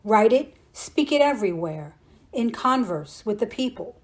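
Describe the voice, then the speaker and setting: A woman reciting poetry in a deliberate, declamatory way. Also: a little high, lively intonation. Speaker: poetry reader.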